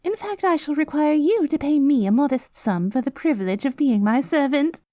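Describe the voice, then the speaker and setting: A woman's voice: high-pitched, animated, moderate pace, dark tone, no room sound, clean studio sound. A woman voicing a haughty, self-righteous good queen with a cruel streak, in pompous, theatrical fantasy roleplay style. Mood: smug, condescending. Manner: pompous, theatrical, mock-archaic diction. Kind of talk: scripted fantasy audio roleplay.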